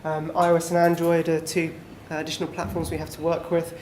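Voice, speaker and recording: A man's voice: on the high side, fast talker. A man giving a conversational conference presentation to a small group, with lots of fillers. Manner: relaxed, filler-heavy, conversational. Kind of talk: conference talk. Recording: echoey room with faint background noise.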